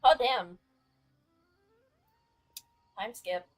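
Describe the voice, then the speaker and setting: A woman's voice: a little high, very slow. A woman game streamer giving casual, reactive let's-play commentary with a giggly manner. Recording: game music behind the voice.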